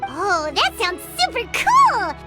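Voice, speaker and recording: A woman voicing a small, childlike, excitable fairy-like companion in a video game, squeaky and exclamatory. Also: slow, heavily acted. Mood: amazed. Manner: speaks of self in third person, exclamatory. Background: music.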